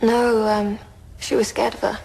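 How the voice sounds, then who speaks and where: A woman's voice: fairly high pitch, flat delivery, measured pace, creaky, a little mellow, slight background noise, rough recording. An actress with an English accent playing a guarded, loyal teenage schoolgirl, reluctant as she answers questions in an audio drama. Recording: faint background ambience.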